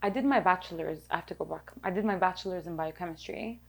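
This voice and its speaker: A woman's voice: on the low side, quick pace, vocal fry. A woman nutritionist from Lebanon, raised in Kuwait, talking chattily as a podcast guest. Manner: chatty, many fillers, reflective. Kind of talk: casual interview chat.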